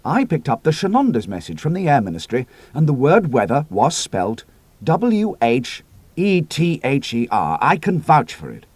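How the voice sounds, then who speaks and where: A man reading the part of an eager young wireless operator who blurts things out, pitched a little higher than his narration. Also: wildly swooping pitch, moderate pace, dry recording.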